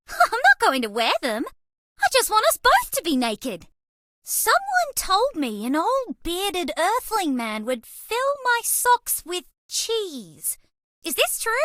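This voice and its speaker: Very squeaky, bubbly alien mouse girl. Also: bright, forceful tone, dry recording, studio quality, full character voice. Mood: playful. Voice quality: squeaky, girlish.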